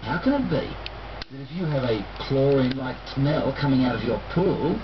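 A man's voice: mid-range voice, animated, moderate pace. A man explaining science in a presenter's style, played through a toy voice changer. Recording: dull lo-fi playback, noisy re-recording.

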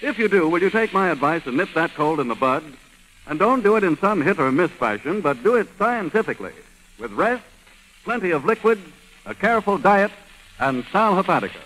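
High-voiced, emphatic 1930s radio pitchman. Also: sing-song, a little fast, fairly bright tone, poor audio. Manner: polished, emphatic salesmanship. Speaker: radio announcer. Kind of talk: scripted 1930s radio advertising. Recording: old radio recording, faint noise.

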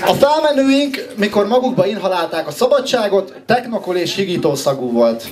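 High-pitched young man performing slam poetry. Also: very animated, crowd noise. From Hungary. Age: 20s. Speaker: slam poet, contestant.

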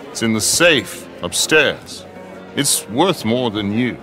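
An actor playing a contemptuous, hot-tempered rich gambler in a western video game, boastful and clipped. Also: male voice, medium pitch, expressive, slow, music in the background. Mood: haughty, contemptuous. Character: haughty, hot-tempered rich gambler at a riverboat poker table. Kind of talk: video game cutscene acting.

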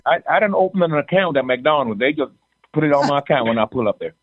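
A man's voice: fairly high pitch, animated, quick pace, slightly rough, no echo, phone-quality sound. A man from Jamaica co-hosting a faith podcast, joking and teasing in casual chat and often repeating his words. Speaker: podcast co-host.

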